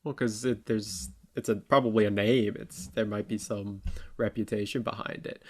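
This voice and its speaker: A man's voice: on the low side, steady tone, moderate pace, darkish tone. A man co-hosting a talk show, discussing issues casually in colloquial language and voicing imagined quotes.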